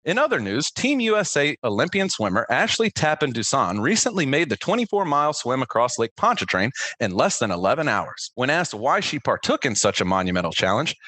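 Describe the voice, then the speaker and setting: Male sports-radio host, satirical scripted headlines, hugely animated intonation, mock-excited announcer delivery, dry studio-tight sound. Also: a little high, dry recording.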